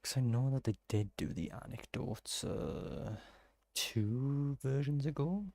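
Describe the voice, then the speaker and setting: A man's voice: mid-range voice, slow, vocal fry, mellow, dry recording. A man giving casual game playthrough commentary, thinking aloud and correcting himself as he goes. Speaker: game streamer.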